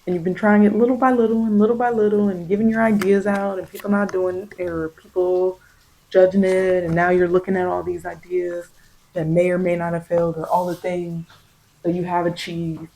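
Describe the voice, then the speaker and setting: A woman giving a soft ASMR tarot reading, speaking in a halting, repetitive, reassuring way as she offers spiritual guidance. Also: lowish voice, flat delivery, slight background noise, poor audio. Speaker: tarot reader.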